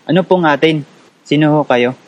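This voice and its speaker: A man's voice: a little high, normal speed, lightly acted. A man with a Filipino accent playing an earnest, curious, polite young villager in a radio drama. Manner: respectful, polite. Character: earnest, curious, polite poor rural young man recounting his past. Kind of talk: radio drama acting and narration in Tagalog.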